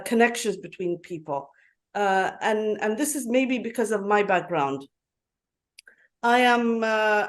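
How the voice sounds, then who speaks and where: A woman speaking as a guest on an online conference call, earnest and halting, with many fillers and restarts. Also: on the high side. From Yemen. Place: home in Dubai. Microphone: video call.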